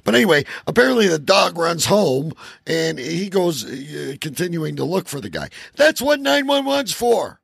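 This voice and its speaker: Gravelly man with very animated delivery. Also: on the high side, moderate pace, no echo, clean studio sound. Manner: sweary, animated, sarcastic. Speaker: comedy podcast co-host. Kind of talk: irreverent casual banter about odd news.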